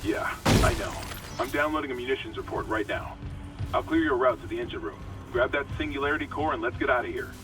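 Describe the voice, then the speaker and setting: Man acting a steady, commanding security officer over music. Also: mid-range voice, fast, recorded from a distance, close to an everyday voice. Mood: focused. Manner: authoritative, plain-spoken, profane. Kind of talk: video game cutscene acting.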